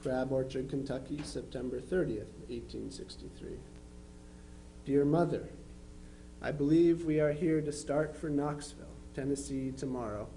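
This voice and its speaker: A man's voice: medium pitch, normal speed, mellow, a little hiss or hum, close to an everyday voice, low-quality recording. A man playing a dutiful young Civil War soldier, reading his letters home aloud. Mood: earnest. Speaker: amateur reader in a historical society dramatization.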